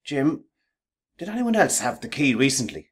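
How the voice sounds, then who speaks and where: Actor as mild, hesitant manager, medium-pitched. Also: male voice, very animated, normal speed, natural voice. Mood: troubled, conciliatory. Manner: hesitant, polite. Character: mild, apologetic manager who defends his worker. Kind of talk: radio play acting.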